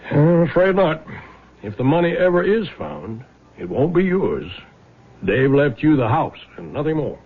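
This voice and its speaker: An actor playing a dry, stubborn, honest older man in a 1970s radio drama, speaking in a measured, sardonic way. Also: a little high, very animated, breathy edge, narrow, tinny audio, exaggerated voice. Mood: dry, firm. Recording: thin, band-limited vintage radio recording.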